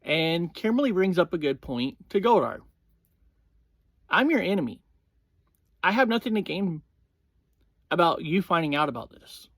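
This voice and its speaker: A man hosting a review series, giving a casual, informal recap in halting phrases that often open with "well". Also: high-pitched, sing-song, fairly bright tone, dry recording.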